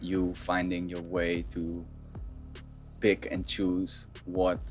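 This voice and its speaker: A woman interviewing a singer in a casual chat, loose and joking, with frequent soft affirmations. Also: very deep voice, monotone, slow pace, faint music behind.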